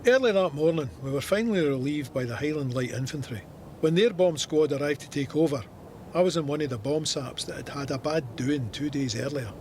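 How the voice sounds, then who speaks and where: An actor playing a stoic Scottish First World War soldier, narrating his memoir in a measured, matter-of-fact way. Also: a little high, darkish tone, slight background noise, close to an everyday voice. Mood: wry, weary. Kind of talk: scripted memoir narration in an audio drama.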